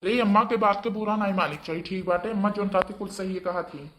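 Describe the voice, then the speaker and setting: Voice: medium pitch, steady tone, quick pace, fairly bright tone, slight background noise, natural voice. A performer voicing a young married man, dutiful to his mother, with an Awadhi accent in a family cartoon. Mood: dutiful, admonishing. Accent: Awadhi, eastern Uttar Pradesh.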